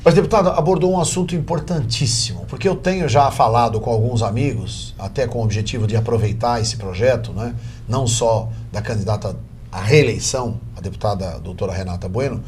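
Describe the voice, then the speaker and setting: A man's voice: on the low side, a little fast, a little mellow, slight background noise. A man hosting a talk show, chatty and affirming, often agreeing with his guests. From Brazil.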